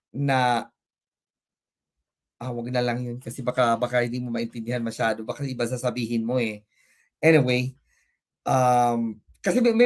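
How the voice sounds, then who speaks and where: Man, filipino accent, young adult, pageant show host, rambling, hesitant, mid-pitched, video call. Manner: rambling, hesitant, mixes English and Tagalog. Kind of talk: live online pageant interview.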